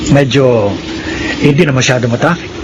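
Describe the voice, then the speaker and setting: An actor playing a persuasive, flirtatious suitor in a Filipino radio drama, with a Filipino accent and a coaxing manner. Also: male voice, mid-range voice, moderate pace, natural voice. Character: suave, persuasive suitor offering a car ride. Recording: loud traffic and car noise effects.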